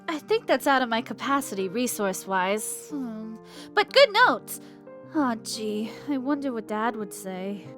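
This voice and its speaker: Excitable high-pitched young inventor. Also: female voice, a little slow, soft background music. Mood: excited. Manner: gushing, slangy, excitable. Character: eager, eccentric young female inventor. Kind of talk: animated comedy voice acting.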